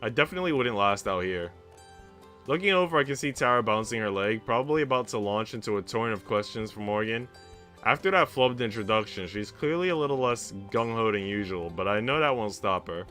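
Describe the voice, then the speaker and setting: A male streamer with a bright, forceful voice on the low side. He reads a visual novel aloud and drops in casual, slangy asides over soft music. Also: expressive, brisk.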